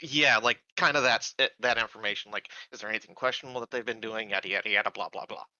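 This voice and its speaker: A man playing a tabletop roleplaying game, talking casually in a halting, deliberate way. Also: on the low side, bright, forceful tone, no echo, dull audio.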